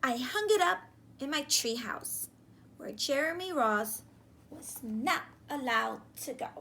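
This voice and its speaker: A woman in her thirties reading a children's storybook aloud like a teacher, expressive and addressing the children with questions. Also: sits high, slow, creaky, a little hiss or hum. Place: small furnished room indoors, seated on sofa.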